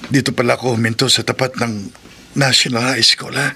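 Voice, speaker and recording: An actor playing a good-natured, henpecked taxi driver in a horror radio drama, hesitant and often thinking aloud. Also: male voice, mid-range voice, level tone, fairly quick, vocal fry, pushed and bright, slightly rough, exaggerated voice. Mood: puzzled.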